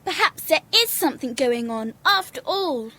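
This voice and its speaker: A woman playing an excitable, indignant schoolgirl sleuth in a children's audio drama. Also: high-pitched, normal speed. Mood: excited.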